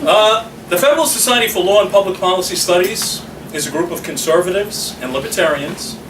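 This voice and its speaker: A man, speaking as chapter president and introducing a debate to an audience, joking between fillers. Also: on the high side. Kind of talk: public speaking at an event. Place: small meeting room. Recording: echoey room, far from mic, noisy.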